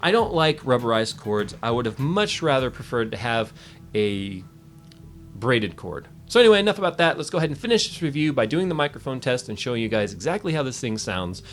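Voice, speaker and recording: A man's voice: medium pitch, big pitch swings, brisk, faint music behind. A man giving a casual, opinionated, conversational product review as host.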